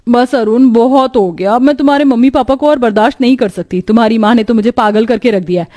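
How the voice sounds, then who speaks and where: A woman's voice: on the high side, quick pace, warm tone, natural voice. A woman playing a young, fed-up, resentful city wife in a cartoon story, sounding exasperated. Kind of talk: cartoon moral story dialogue.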